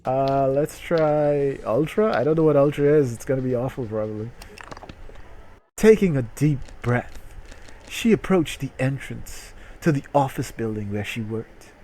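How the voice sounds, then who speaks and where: A man's voice: mid-range voice, a little slow, mellow, a little hiss or hum, low-quality recording. A man doing a comedic reading aloud, breaking into casual, profane, exasperated asides. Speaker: streamer reading a story aloud while playing a game. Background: music.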